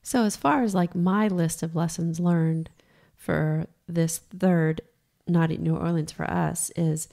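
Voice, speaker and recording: A raspy middle-aged woman with a low, gravelly voice, co-hosting a podcast. She chats frankly and laughs easily, and her voice sounds slightly hoarse. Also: fairly even intonation, slow, mellow. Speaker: podcast co-host. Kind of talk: casual chat.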